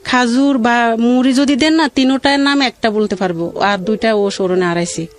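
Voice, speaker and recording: A middle-aged woman with a colloquial regional Bangladeshi accent tells her own life story in a halting, rambling, plaintive way. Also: fairly high pitch. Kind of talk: emotional personal storytelling.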